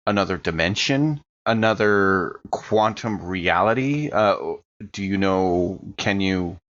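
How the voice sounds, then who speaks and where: A slightly dull recording of a man with a fairly low voice. He improvises and narrates casually as a tabletop horror player, often halting and repeating words. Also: fairly bright tone.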